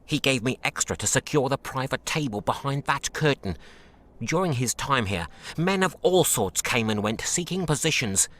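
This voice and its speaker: A man's voice: mid-range voice, lively intonation, normal speed, a bit bright, lightly acted. An actor playing a wary, blunt British tavern keeper in a video game, guarded in his answers. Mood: forthcoming. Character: gruff, wary shady British tavern keeper selling homemade liquor.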